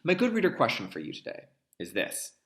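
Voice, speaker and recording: A man reads a story aloud for kindergarteners in a roomy, echoing space. His delivery is dramatic and very lively in pitch, with the clear, explanatory manner of a teacher. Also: fairly quick. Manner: expressive, dramatic delivery, explains words to young listeners.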